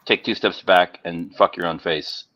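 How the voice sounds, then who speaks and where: A man's voice: low-pitched, fairly even intonation, normal speed, a bit bright. A man chatting casually and profanely as part of a livestream panel. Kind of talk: casual chat. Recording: slightly dull, lo-fi mic.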